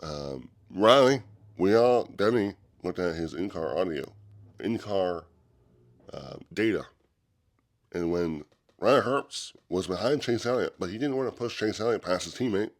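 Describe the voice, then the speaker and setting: A man's voice: sits low, lively intonation, unhurried. A young man with a congested, stuffy nose from a cold, hosting a casual solo podcast close to the mic and rambling with fillers and restarts. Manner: rambling, loose delivery with many fillers and restarts. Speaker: podcast host. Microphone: microphone held close to the mouth.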